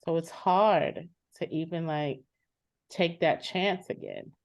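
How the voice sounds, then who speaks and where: A woman's voice: low-pitched, slow pace, vocal fry, exaggerated voice. A woman playing a fed-up adult sister in a dramatized therapy session, speaking in an exasperated, insistent, blunt way. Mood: weary, distrustful. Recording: dull lo-fi sound.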